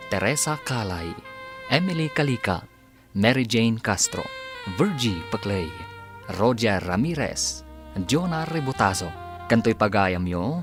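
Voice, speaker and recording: An announcer reading scripted radio drama credits in a formal, presentational manner. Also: medium pitch.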